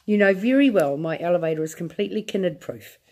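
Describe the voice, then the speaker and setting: A woman voicing an eccentric, excitable male inventor in a read-aloud storybook, close to her own voice. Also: fairly low pitch, fast. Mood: confident.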